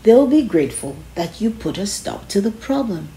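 A woman's voice: mid-range voice, big pitch swings, moderate pace, mellow, rough recording. A woman reading a children's self-help book aloud in an instructive way, stressing key phrases.

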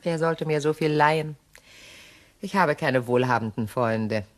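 An ageing widow in a crime radio play. Her voice sits on the low side and moves slowly, with hesitant, dramatic pauses. She sounds wistful and rattled. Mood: weary, resigned.

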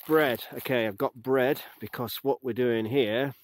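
A man's voice: medium pitch, steady tone, a little slow. A man in his thirties chatting casually to a handheld camera while hiking outdoors, upbeat and easygoing. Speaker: hiking vlogger. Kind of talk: casual vlog narration to camera. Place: outdoors on a mountain trail. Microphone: action camera held at arm's length. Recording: clear outdoor noise behind.

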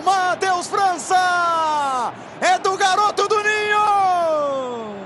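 A Brazilian man calling football goals on a broadcast, shouting excited goal calls. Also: very high voice, extremely slow, heavy background noise. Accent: Brazilian Portuguese. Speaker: play-by-play commentator. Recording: broadcast over loud stadium crowd. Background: roaring stadium crowd.

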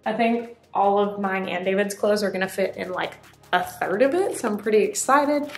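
A young woman chatters eagerly through a home tour, holding the camera at arm's length. Her voice sits at an ordinary pitch and rings off the bare walls of an empty room. Soft music plays faintly behind her. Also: moderate pace, creaky, echoey room. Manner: excited, upbeat, chatty. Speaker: vlogger. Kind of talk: apartment tour vlog. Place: empty apartment, indoors. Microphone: handheld camera at arm's length.

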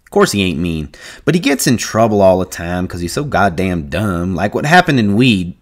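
A man doing a gruff, anxious young ranch hand. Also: sits low, sing-song, normal speed. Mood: worried. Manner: rough rural drawl, earnest, gruff.

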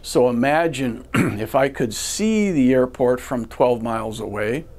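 An American man in his sixties with a medium-pitched voice delivers an instructional lecture. He speaks very slowly and deliberately, taking things step by step like a ground school teacher. He is recorded on a lapel mic in a studio. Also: a little mellow. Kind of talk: instructional lecture to camera with rhetorical questions. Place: indoor video studio. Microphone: lavalier clipped to shirt.

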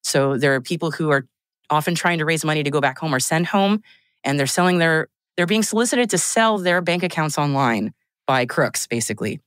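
A woman's voice: deep voice, expressive, brisk, dry recording. A woman guest on a podcast, chatting casually, laughing often and restarting her sentences. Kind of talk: casual chat.

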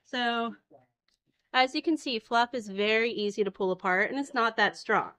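A woman's voice: fairly high pitch, normal speed, pushed and bright. A woman in her thirties giving an informal hands-on demonstration, explaining things simply, recorded indoors on a camera a short distance away. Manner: explanatory, uses simple everyday comparisons. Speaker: fiber spinning demonstrator. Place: indoor farm shop with concrete floor. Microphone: handheld camera a short distance away.

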